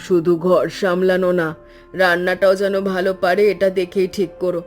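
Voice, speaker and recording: A woman voicing an ailing, doting elderly grandmother in an animated family story. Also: on the low side, normal speed, soft background music, lightly acted. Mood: resigned, kindly.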